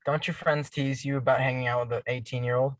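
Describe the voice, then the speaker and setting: An actor at a table read playing a reserved, protective teenage boy who speaks in a low-key, hesitant way. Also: level tone, quick pace, dry recording, close to an everyday voice, rough recording.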